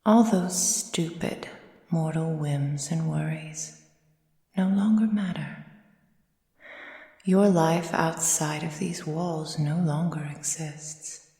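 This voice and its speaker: A woman playing a possessive, condescending vampire mistress in an intimate ASMR roleplay, speaking formally and measuredly and often slipping into breathy whispers. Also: deep voice, takes it slowly, warm tone. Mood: cold, exasperated. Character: possessive, condescending female vampire mistress tending her captive thrall. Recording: echoey room with long reverb.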